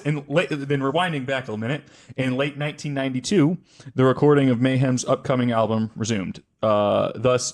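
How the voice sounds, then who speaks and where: A man hosting a podcast, telling a story casually from notes and often correcting himself as he goes. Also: lowish voice. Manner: halting, self-correcting as he reads names. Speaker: podcast host.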